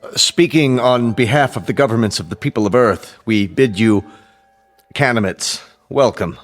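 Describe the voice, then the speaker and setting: A man plays a high official in a radio drama, formal yet flustered. He orates in a medium-pitched voice, hesitating between phrases, with quiet music under him. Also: natural voice. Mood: formal, anxious. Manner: formal, halting oratory. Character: flustered, formal male senior diplomatic official.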